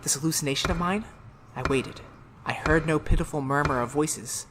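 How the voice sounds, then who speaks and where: Woman playing a low-voiced, haunted, feverish horror narrator. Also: moderate pace, lightly acted. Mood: hushed dread. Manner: feverish, melodramatic, broken phrases. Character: tormented murderer Daryl, haunted, unravelling, insisting on sanity. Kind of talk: retro radio horror drama acting. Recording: noisy radio drama recording.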